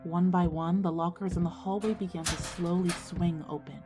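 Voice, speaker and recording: A woman's voice: on the low side, steady tone, moderate pace, natural voice. Woman acting an anxious schoolgirl, music underneath. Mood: tense narration. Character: anxious schoolgirl narrating her own ghost story. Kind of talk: scripted audio drama, first-person narration and dialogue.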